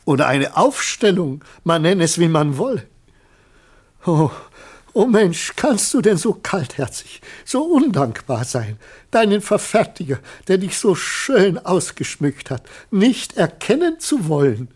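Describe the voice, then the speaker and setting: A man's voice: sits high, big pitch swings, unhurried, a little mellow, slightly rough, heavily acted. An actor in a German radio play, playing a rapturous, deluded man who effusively pleads with the creature he believes he made. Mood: pleading. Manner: effusive, theatrical, solemn, pleading. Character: rapturous, deluded man claiming his runaway scarecrow as creation.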